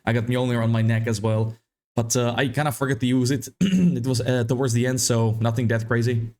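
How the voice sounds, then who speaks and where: Guy going a bit hoarse, rambly game build walkthrough, average pitch, keeps repeating himself. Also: brisk, a little mellow. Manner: loose and rambling, repeats words while thinking. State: slightly hoarse, losing his voice. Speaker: gaming content creator. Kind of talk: casual game build guide.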